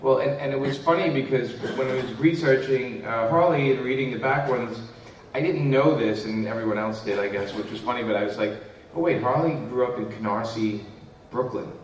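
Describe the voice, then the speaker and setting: A man's voice: medium pitch, fairly quick, distant mic, a little hiss or hum. A man, a comic writer telling rambling, chatty anecdotes at a live convention panel. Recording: echoey room mic from afar.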